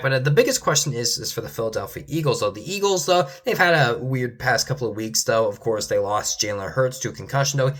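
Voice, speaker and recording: A man's voice: mid-range voice, pushed and bright. A young man giving casual football predictions, rambling with many restarts and ending lines with "though", his voice sometimes giving out. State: losing his voice at times. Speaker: sports commentator.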